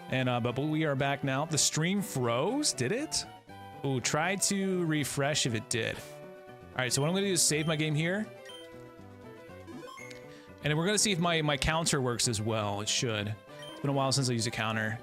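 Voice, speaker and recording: A relaxed man in his thirties talks casually while playing on a live stream. His voice sits a little high, and he keeps up a chatty back-and-forth with his viewers over soft background music. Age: 30s. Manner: laid-back, chatty, greets and answers chat viewers. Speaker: game streamer.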